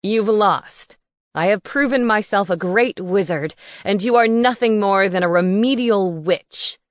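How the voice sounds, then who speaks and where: A woman's voice: fairly low pitch, lively intonation, normal speed, no echo, clean studio sound. Woman putting on a sneering villain wizard. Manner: loud, sneering and forceful. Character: arrogant, menacing young male wizard.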